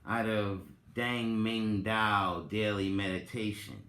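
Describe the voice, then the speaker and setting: A man hosting a dramatic reading and reflective commentary, speaking in a deliberate, emphatic way with pointed emphasis. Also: fairly low pitch, steady tone, sharp tone. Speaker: host giving a reading and commentary. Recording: roomy, echoing sound.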